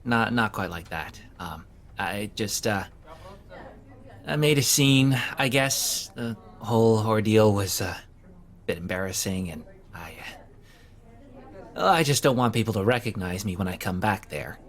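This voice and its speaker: A man's voice: on the low side, lively intonation, unhurried. A man playing an awkward, hapless young man in an audio drama, talking in a hesitant, sheepish way full of fillers. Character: awkward, hapless young man who keeps getting fired. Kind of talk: conversational audio drama acting.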